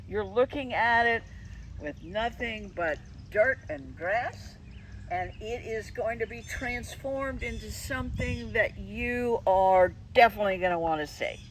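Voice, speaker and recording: A woman's voice: medium pitch, far from the mic. Woman hosting an outdoor interview. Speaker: interviewer and host. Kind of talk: casual spoken introduction and interview. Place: outdoors on a dirt and grass lot by a railroad track.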